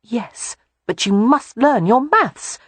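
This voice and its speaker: A woman's voice: a little high, slow, slightly rough, dry recording, voice pushed up, full character voice. A woman voicing a playful, wry young woman. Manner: wry, lively.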